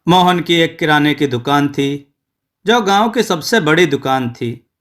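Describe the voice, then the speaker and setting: A man narrating a scripted moral story in a measured, clearly enunciated storytelling manner. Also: a little high, fairly bright tone.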